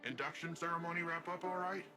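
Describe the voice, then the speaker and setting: A man's voice: a little high, fast, close to an everyday voice. Man playing a brash, youthful game hero. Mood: casual, wistful. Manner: casual, slangy, animated. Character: brash young upbeat futuristic hero, eager and irreverent. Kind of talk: video game voice acting.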